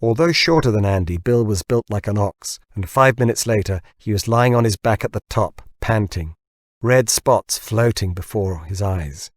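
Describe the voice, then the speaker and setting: A man's voice: fairly low pitch, expressive, normal speed, dry recording, clean studio sound. A man narrating scripted fiction as an audiobook reader.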